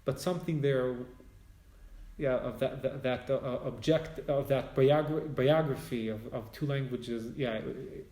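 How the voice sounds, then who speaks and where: Israeli man, native Hebrew speaker, slow and halting English, mid-pitched voice, echoey room; reflective spiritual teacher in conversation. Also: steady tone, mellow. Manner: halting, searching for words, many restarts.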